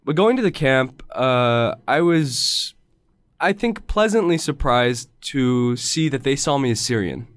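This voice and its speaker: A man who grew up in the United States, a podcast guest speaking thoughtfully in casual conversation, with halting restarts. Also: mid-range voice, expressive, no echo.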